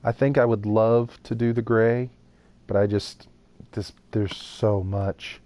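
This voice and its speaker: Man, game streamer, slow and unhurried, low warm voice, thinking out loud. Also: fairly even intonation, dry recording. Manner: thinks aloud while building, talks to chat. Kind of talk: casual live gameplay chat.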